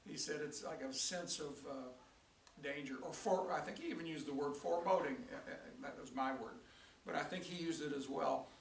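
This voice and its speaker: An older male teacher recapping a lesson in a rambling way with many restarts, his voice raspy and tired. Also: on the high side, fairly quick, distant mic, a little hiss or hum. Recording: echoey room, voice from off-mic.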